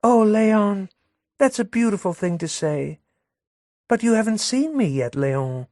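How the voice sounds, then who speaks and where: A man's voice: sits high, expressive, measured pace, darkish tone, dry recording, clean studio sound, voice pushed up. Man putting on a cooing, catty young woman. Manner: sweet, wheedling and catty. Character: coy jealous young woman, cooing to her lover.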